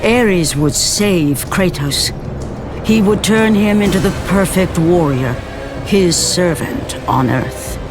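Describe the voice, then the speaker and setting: A man's voice: high-pitched, lively intonation. Grave male game narrator. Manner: grave, measured storytelling. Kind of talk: dramatic scripted narration. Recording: loud music score behind.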